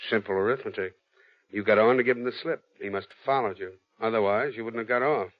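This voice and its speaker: Actor as a wisecracking radio detective, muffled sound. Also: male voice, lowish voice, quick pace, close to an everyday voice. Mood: blunt, probing. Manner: flirtatious, slangy, flippant. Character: wisecracking, cocky, easygoing male private detective. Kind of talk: old-time radio detective drama acting. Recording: muffled lo-fi old radio recording.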